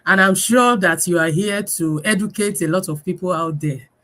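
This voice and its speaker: A woman's voice: lowish voice, moderate pace. Woman hosting a live stream. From Nigeria. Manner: warm greetings, many fillers, laughs often. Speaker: host. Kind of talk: live-stream business talk.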